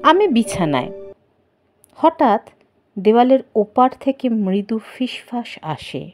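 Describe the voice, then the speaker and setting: A woman narrating a horror story in the first person, theatrical and suspenseful, with drawn-out pauses. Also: on the high side, sing-song, darkish tone. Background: background music.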